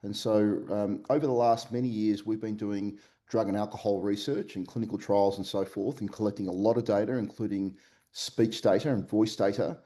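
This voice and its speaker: A man with an Australian accent, a company CEO answering podcast interview questions remotely, halting often with fillers and restarts. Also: fairly low pitch, fairly even intonation, warm tone. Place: remote call from Melbourne.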